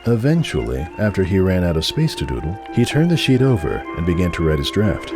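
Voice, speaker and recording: Low-pitched male story narrator over music. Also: big pitch swings, brisk. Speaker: narrator of an audio story reading. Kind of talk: dramatic scripted narration of fan fiction.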